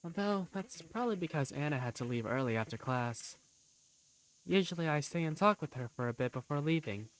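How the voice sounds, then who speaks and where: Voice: mid-range voice, lively intonation, brisk, poor audio. A narrator reading scripted first-person story narration for a game fandub. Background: background music.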